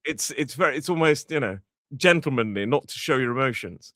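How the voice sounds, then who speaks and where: A man's voice: medium pitch, lively intonation, a little fast, fairly bright tone, dry recording. British english teacher guy chatting on a podcast, explains stuff, voice jumps around a lot, dry close sound. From Britain. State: toothache. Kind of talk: conversational podcast chat.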